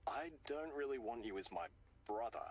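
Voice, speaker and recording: A woman voicing a blunt, cheeky younger man in a visual novel, with a low, gravelly, husky voice and offhand manner. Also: lively intonation, moderate pace, a little mellow. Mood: blunt teasing.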